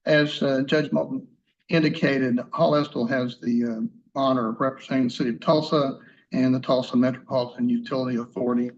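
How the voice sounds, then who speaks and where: Male attorney, soft-spoken and halting, mid-pitched, formal argument in a hearing room, dull lo-fi sound. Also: steady tone. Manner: soft-spoken, halting with many fillers and restarts.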